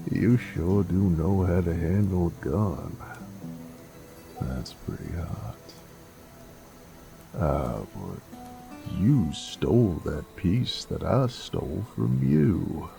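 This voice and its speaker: A man acts out a roguish werewolf gunslinger with a Southern American Western drawl. He speaks extremely slowly in a low voice, teasing and theatrical, with music behind him. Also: sing-song, vocal fry, full character voice. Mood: amused, flirtatious. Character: flirtatious, roguish werewolf bounty hunter in a Western. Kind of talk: Western audio roleplay acting.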